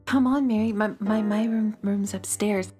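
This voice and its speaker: A woman plays a shy, gloomy young alien girl in a children's radio play. She is hesitant and kindly, stumbling over first sounds and repeating words. Music plays clearly behind her. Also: mid-range voice, steady tone, normal speed, warm tone, lightly acted. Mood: shy, nervous. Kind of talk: children's audio drama acting.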